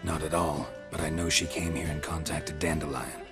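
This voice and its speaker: A man playing a stoic, world-weary monster hunter in a video game, speaking in terse deadpan lines with a gruff, gravelly voice. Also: rumbling low, normal speed. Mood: somber, guarded. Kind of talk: video game cutscene acting. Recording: music behind the dialogue. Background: clear music.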